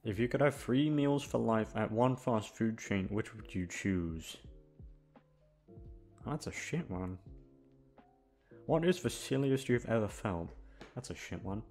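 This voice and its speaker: Man, slightly low voice, casual rambling outro, hesitant, sweary, self-deprecating, faint music behind. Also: animated, unhurried, long pauses, darkish tone. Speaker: ASMR audio creator and host.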